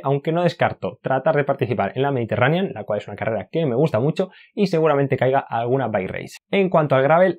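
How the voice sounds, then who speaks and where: A young man from Spain recaps his cycling year straight to camera. He sounds chatty and upbeat, gesturing as he talks, with a middle-pitched voice. He is recorded close on a lapel mic indoors. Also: muffled recording. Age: young adult. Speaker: cycling YouTuber. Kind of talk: year-in-review talking to camera. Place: indoors in front of a stone-wall backdrop. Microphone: clip-on lapel mic.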